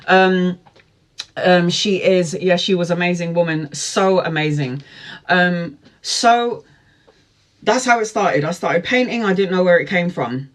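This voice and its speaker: High-pitched guy in his 40s, tarot reader, just chatting and rambling through a story. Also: slow. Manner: rambling, chatty, frequent fillers and asides. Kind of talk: casual storytelling to camera.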